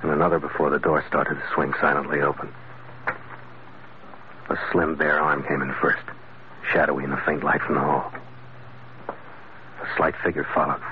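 An actor playing a tough, wry sea captain sleuth in a classic radio detective drama, clipped and sardonic. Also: male voice, a bass voice, level tone, moderate pace, long pauses. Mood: tense, hushed narration. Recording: noisy vintage radio broadcast, muffled.

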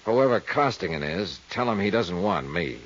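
An actor playing a world-weary, hard-boiled investigator, terse and wry, in 1950s radio noir. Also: male voice, deep voice, very animated, normal speed. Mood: tough, curt. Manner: terse, dry, wry tough-guy delivery. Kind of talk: 1950s radio noir acting and first-person narration. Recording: muffled 1950s radio recording with music.

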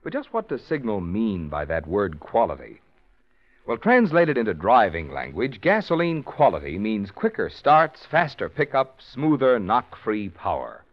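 A man reading a vintage radio commercial as an announcer, in a smooth, persuasive delivery on a dull old recording. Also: lowish voice, wildly swooping pitch, unhurried.

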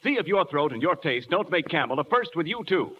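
A man's voice: on the high side, big pitch swings, fairly quick, heavy background noise. A man announcing in 1940s radio advertising style, with emphatic phrasing, on an old muffled recording.

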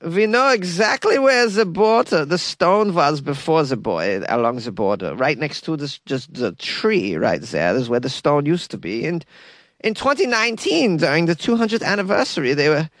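A guy doing a bumbling small-town belgian mayor, goofy fake accent, rambles and stalls, kinda high and dry. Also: big pitch swings, a bit bright, no room sound. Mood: rambling. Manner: mock foreign accent, rambling and halting.

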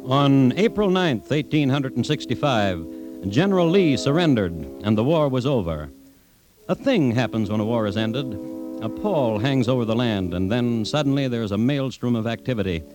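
Male storyteller host, acted by a man, 1950s radio crime drama, measured literary delivery, clear music bed. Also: mid-range voice, expressive, close to an everyday voice, rough recording. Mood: grave, wry. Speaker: host and narrator.

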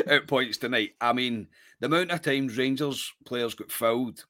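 A man's voice: medium pitch, bright, forceful tone, no echo. A man with a Scottish accent co-hosting a football podcast, chatting casually after a match, rambling a little and often calling his co-host mate.

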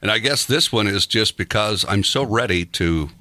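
A man's voice: fairly low pitch, lively intonation, normal speed, bright, forceful tone, no echo, studio quality. A man in his sixties chatting casually as a radio host, rambling with lots of fillers and chuckles. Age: 60s. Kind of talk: casual chat.

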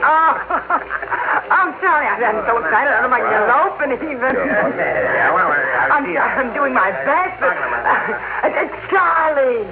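An actress playing a gushing, fussy middle-aged wife in a vintage radio drama, full of effusive exclamations. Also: female voice, high voice, big pitch swings, takes it slowly, heavily acted. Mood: gushing, excited. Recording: tinny vintage radio, very noisy.

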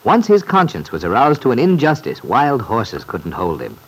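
A man's voice: medium pitch, huge pitch swings, normal speed, husky, low-quality recording. A man narrating an old-time radio serial in scripted storytelling style.

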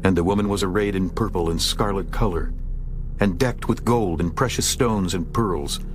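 A man narrating a dramatized scripture audiobook in a solemn, formal manner. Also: lowish voice.

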